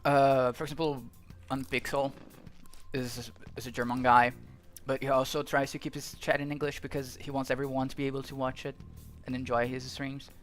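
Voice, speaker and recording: A man's voice: medium pitch, flat delivery, normal speed, faint music behind. A young Brazilian man with a Brazilian Portuguese accent, a native Portuguese speaker, chatting casually while streaming a game, rambling and thinking aloud with frequent restarts. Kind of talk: casual gameplay commentary.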